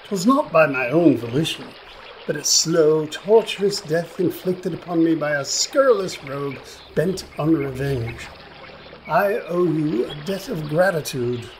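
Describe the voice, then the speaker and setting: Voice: medium pitch, lively intonation, a little slow, slight background noise, lightly acted, poor audio. An actor in a radio play voicing a pompous, wordy magic fish who speaks in florid, old-fashioned phrases. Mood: florid, dramatic. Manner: pompous, florid archaic diction.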